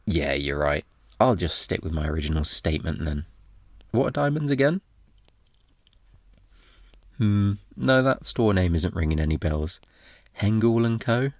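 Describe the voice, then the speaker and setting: Man playing a cocky flirtatious thief, low-voiced. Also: big pitch swings, a little slow, pauses often, no echo, clean studio sound, heavily acted. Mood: feigned innocence. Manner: teasing, theatrical, sarcastic, swooping into mock quotes. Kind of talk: ASMR roleplay acting.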